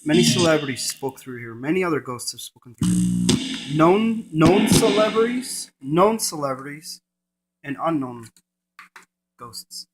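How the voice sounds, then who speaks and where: A man's voice: a little high, expressive, unhurried, soft background music, poor audio. A man presenting a casual hands-on gadget demonstration, narrating each step in short fragments.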